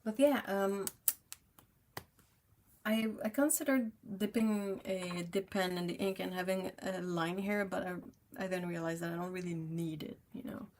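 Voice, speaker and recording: A woman's voice: on the low side, steady tone, moderate pace, a little mellow, slight background noise. A young woman with a French Canadian accent, a native French speaker, chatting casually with viewers on a livestream while she paints, reading the chat aloud and laughing often.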